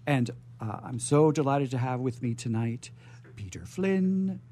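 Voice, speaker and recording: A man's voice: mid-range voice, animated, unhurried, warm tone. A man hosting a live radio show, rambling playfully off the cuff with theatrical phrasing.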